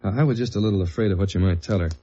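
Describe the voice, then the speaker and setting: Casting: male actor as understated American G-man, low register, hard-boiled radio drama delivery, dull vintage sound. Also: rapid-fire, dark tone, natural voice. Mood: businesslike. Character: tall, dogged government investigator narrating his case journal. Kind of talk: 1950s radio detective drama, first-person narration and dialogue. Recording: muffled old radio recording with music.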